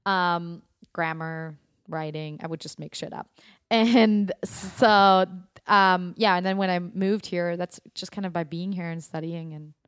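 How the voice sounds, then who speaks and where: A woman's voice: on the low side, steady tone, a little slow, clean tone, no echo, clean studio sound. A young adult woman from Germany, a native German speaker with a mostly American accent, chatting casually as an actress guest, with frequent fillers and laughs. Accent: American with slight German. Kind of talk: casual interview chat.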